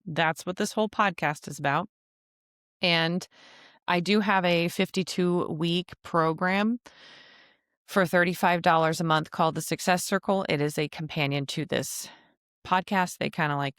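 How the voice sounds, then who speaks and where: Woman podcast host, lowish smooth voice, dry close mic, chatty with joking asides. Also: normal speed, fairly bright tone, dry recording. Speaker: podcast host and travel agency owner. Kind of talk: casual solo podcast monologue.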